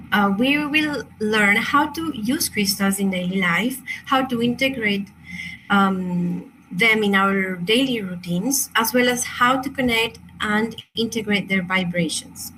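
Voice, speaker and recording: A woman's voice: medium pitch, pushed and bright, a little hiss or hum, low-quality recording. A woman from Chile, a native Spanish speaker with a Chilean accent, presenting an online crystal workshop in a hesitant, apologetic way with many fillers and restarts.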